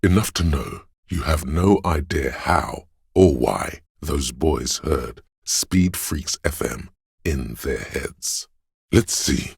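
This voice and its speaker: A man voicing a game character, the pedantic, erudite inner voice of a detective's knowledge, speaking in a measured, very deep, gravelly, husky voice. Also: animated, no room sound, studio quality, full character voice. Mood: matter-of-fact. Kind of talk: scripted game narration.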